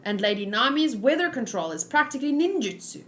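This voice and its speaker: Casting: earnest manga samurai man, read by a woman, formal and stately, fairly high-pitched. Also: very animated, brisk, fairly bright tone. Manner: stately, old-fashioned, formal phrasing.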